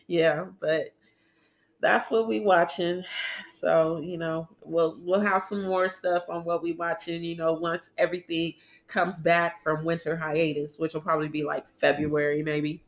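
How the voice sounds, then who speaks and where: A woman's voice: lowish voice, little pitch movement, measured pace. A young woman co-hosting a podcast in casual, chatty talk, congested from a cold and laughing often. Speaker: podcast co-host.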